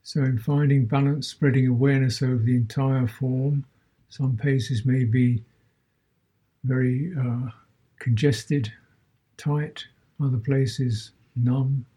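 A man teaching a guided meditation as a Buddhist teacher, speaking in short measured phrases with long pauses between them. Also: medium pitch, fairly even intonation, dark tone, breathy edge, rough recording.

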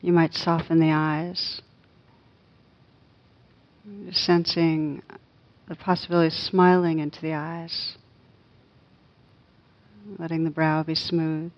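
A woman leading a guided meditation as a teacher, gentle and unhurried, with long pauses between soft phrases. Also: low voice, little pitch movement, lo-fi sound.

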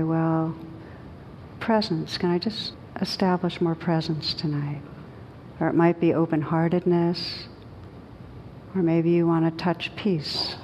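A woman teaching meditation, guiding a group in a gentle, unhurried manner with long pauses. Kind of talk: guided meditation led for a group. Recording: clearly noisy with low rumble.

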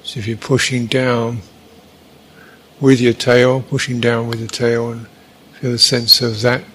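A man teaching a guided meditation, speaking in short, halting phrases with long pauses between them. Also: medium pitch, monotone, fairly bright tone.

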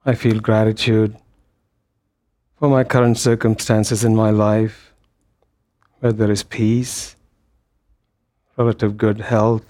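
A man leading a guided meditation as a teacher, speaking gently in short phrases with long pauses between them. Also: fairly low pitch, level tone. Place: meditation hall.

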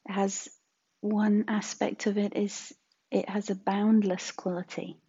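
A woman teaching a guided meditation in a soft, breathy, husky voice, gentle and unhurried with long pauses. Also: mid-range voice, little pitch movement, dull audio.

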